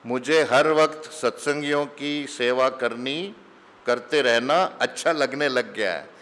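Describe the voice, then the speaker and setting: Spiritual teacher, man, middle-aged, unhurried storytelling sermon, mid-pitched, long hall echo. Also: a bit bright. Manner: slow, mixes English phrases into Hindi. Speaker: spiritual teacher giving satsang. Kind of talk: conversational sermon with anecdotes.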